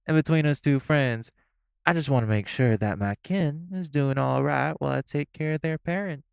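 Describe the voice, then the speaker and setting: A man's voice: on the high side, normal speed, creaky, smooth, no echo. A man voicing a sly, mocking male gangster, in an audio roleplay. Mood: smug. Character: smug, scheming male gangster, sly and teasing.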